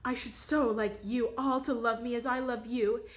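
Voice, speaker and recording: A woman reading aloud, voicing an emotional young society woman with a high, excitable voice. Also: normal speed, lightly acted. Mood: agitated.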